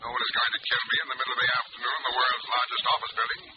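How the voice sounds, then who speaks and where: An actor playing an unworried, confident company president in a 1940s radio drama. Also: male voice, medium pitch, little pitch movement, very fast, close to an everyday voice. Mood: unconcerned. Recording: muffled, very noisy old radio telephone.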